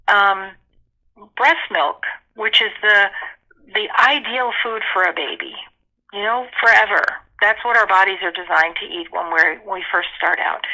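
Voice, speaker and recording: A woman's voice: mid-range voice, sharp tone. A woman giving informative, emphatic explanations as a nutrition expert in a telephone interview, backing her points with figures and examples. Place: telephone call. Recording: dull lo-fi telephone interview audio.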